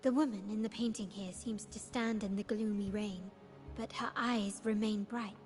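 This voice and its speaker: A woman's voice: medium pitch, steady tone, faint music behind, close to an everyday voice. An actress playing a composed, formal, analytical woman investigator in a video game, speaking politely and precisely. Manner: measured, polite, articulate. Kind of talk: scripted video game dialogue.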